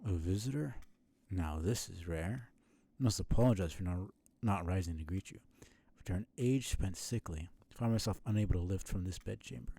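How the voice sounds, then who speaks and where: Man putting on an ancient, weary, low-voiced bug. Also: gravelly, warm tone, breathy edge, exaggerated voice. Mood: weary, courteous. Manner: formal, apologetic, slow and halting. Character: ancient sickly bedridden bug, courteous and sorrowful. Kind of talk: video game dialogue read aloud.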